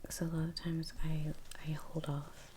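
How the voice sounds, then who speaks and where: A woman speaking in a soft, breathy half-whisper, chatting casually and haltingly in an ASMR style as she paints. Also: deep voice, little pitch movement, slight background noise. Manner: unhurried, halting, trailing pauses. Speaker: ASMR painting video creator. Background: soft brush sounds.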